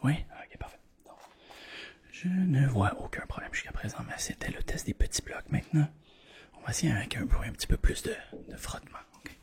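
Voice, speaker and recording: A person with a Quebec French accent whispering softly in an ASMR roleplay as a clinician, close to the microphone, often repeating short words. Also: medium pitch, animated, brisk, vocal fry. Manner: soft-spoken, murmured repetition of short words. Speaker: ASMR performer playing a clinician doing a hearing test.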